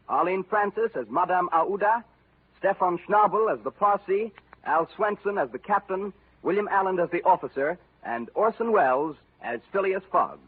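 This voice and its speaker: A man's voice: sits high, slightly rough. A man from the United States announcing formally from a script in polished 1930s radio style. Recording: tinny 1930s radio, faint music.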